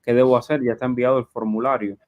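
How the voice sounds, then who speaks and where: Male live Q&A host, medium pitch, conversational, reads viewer questions out loud. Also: flat delivery, fast talker, dull audio. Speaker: live show host and journalist. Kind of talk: live Q&A, reading audience questions aloud.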